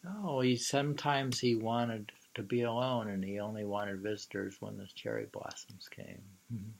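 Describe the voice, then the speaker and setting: A man informally reading poetry aloud and adding his own halting, musing commentary, with thoughtful hums between phrases. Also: fairly low pitch, steady tone, creaky, low-quality recording. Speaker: reader and commentator.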